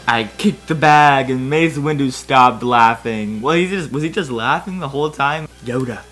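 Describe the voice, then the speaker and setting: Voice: medium pitch, normal speed, a bit bright. A person giving a comedic dramatic reading of fan fiction, giggly and breaking into laughter between asides.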